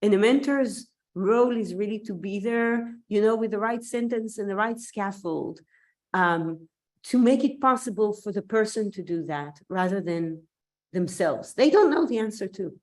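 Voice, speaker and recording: A woman's voice: medium pitch, animated, moderate pace, a little mellow. A woman from Israel whose native language is Hebrew, giving a thoughtful online seminar talk over a video call while recovering from an illness, with an occasional cough. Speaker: invited scientist speaker.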